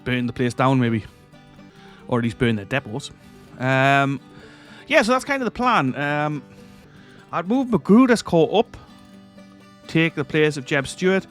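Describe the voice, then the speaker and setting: A man's voice: a little high, sing-song, a little slow, pauses often, fairly bright tone, faint music behind. A man with a stuffy nose from a cold, giving casual commentary over a strategy game. He rambles, corrects himself and chuckles often. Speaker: strategy game let's-play host.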